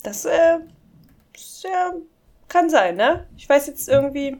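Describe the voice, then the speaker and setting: A woman's voice: sits high, lively intonation, darkish tone. A German-speaking woman in casual podcast chat, amused and often laughing as she reads scam emails aloud. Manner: amused, giggly, reads English slowly and mockingly. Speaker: podcast co-host.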